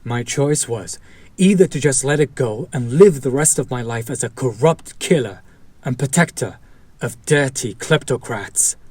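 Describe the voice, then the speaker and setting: Man acting a husky, slow-speaking fallen hero. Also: medium pitch, darkish tone, no room sound, natural voice. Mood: bitter, indignant. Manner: earnest, deliberate, intense, dramatic pauses. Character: fallen hero turned villain, idealistic, bitter, protective former partner. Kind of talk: dramatic audio roleplay monologue addressed to listener.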